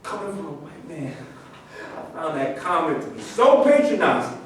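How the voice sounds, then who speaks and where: A man's voice: fairly high pitch, very animated, moderate pace. A man in a staged reading, playing a proud, indignant former football star recounting his grievances. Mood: offended, restrained. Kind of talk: staged reading of a play, dramatic monologue. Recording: echoey room from far off, faint noise.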